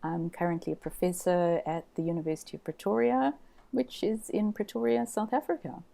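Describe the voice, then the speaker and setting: A woman who grew up in Zambia and Zimbabwe, a scientist answering interview questions in a relaxed way, often repeating words as she thinks. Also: on the low side, a little fast, vocal fry. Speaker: guest scientist.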